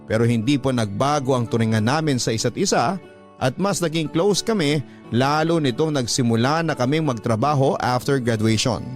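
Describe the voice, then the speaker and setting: A man reading a letter sender's story aloud in a polite, earnest way, radio drama style, with soft instrumental music under his voice. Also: mid-range voice, moderate pace, lightly acted.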